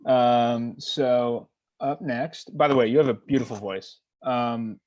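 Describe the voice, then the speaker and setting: A man hosting an online open mic reading, introducing the next reader in a relaxed way with lots of fillers. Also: medium pitch, slow.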